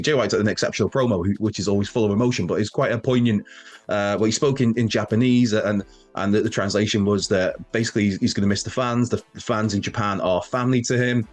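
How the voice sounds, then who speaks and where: A man hosting a wrestling news show, sounding nasal and bunged up from a cold, rambling and correcting himself as he chats. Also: lowish voice. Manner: rambling, self-correcting, chatty with asides. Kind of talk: casual news chat to camera.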